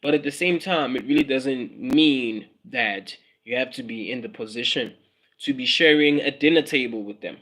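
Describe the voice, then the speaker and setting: A man's voice: mid-range voice, normal speed, a bit bright, low-quality recording. South African man musing on a livestream. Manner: musing, repeats phrases, mixes in Zulu words. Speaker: livestream host. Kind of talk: casual livestream monologue.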